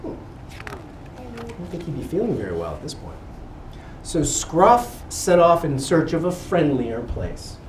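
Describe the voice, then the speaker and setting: Animated man reading aloud, roomy distant recording. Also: a little high. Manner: expressive, pausing for asides to the children. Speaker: guest reader at a school. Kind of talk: animated picture-book read-aloud to children. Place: indoor school room with children. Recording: roomy, distant mic with background noise.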